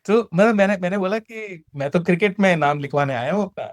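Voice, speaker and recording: A man's voice: high voice, a little fast, no room sound, studio quality. A man with an Indian accent telling personal stories in casual chat, acting out dialogue and mixing Hindi with English. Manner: animated retelling with acted-out dialogue, switching between Hindi and English. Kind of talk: casual conversational storytelling.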